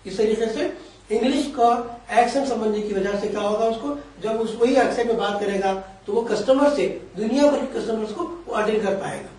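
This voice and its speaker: A man's voice: high-pitched, fairly quick, a little mellow, recorded from a distance. Man explaining at length in an echoey room. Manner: long explanatory sentences, Urdu-flavoured Hindi with English terms. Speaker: career guidance expert. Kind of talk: explanatory interview answers.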